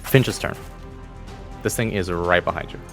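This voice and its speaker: A man with a low voice runs a tabletop game as game master. He tells the action dramatically, with faint music playing underneath. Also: unhurried, fairly bright tone.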